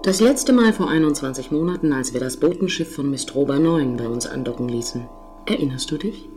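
A man's voice: on the high side, lively intonation, brisk, a little mellow, soft background music, close to an everyday voice. A man voicing an attentive, fussy station computer in a science fiction radio play, precise and politely correcting.

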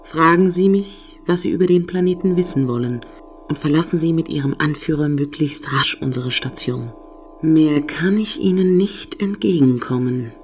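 A woman playing a cool, formal, protective space-station computer in a science-fiction audio drama, speaking in measured, officious phrases. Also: low-pitched, a little mellow, faint music behind. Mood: firm, guarded.

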